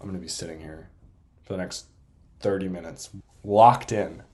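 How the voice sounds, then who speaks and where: A young man chatting casually to camera as a vlogger, playful and self-deprecating in an excitable way. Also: low-pitched, moderate pace, reverberant. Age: young adult. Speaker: vlogger and college program applicant.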